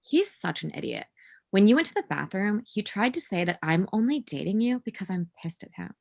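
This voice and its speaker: A woman's voice: medium pitch, sing-song, fairly quick, creaky, no echo, studio quality. A woman playing a manipulative girlfriend, blunt and dismissive, in a comedy table read. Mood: indignant.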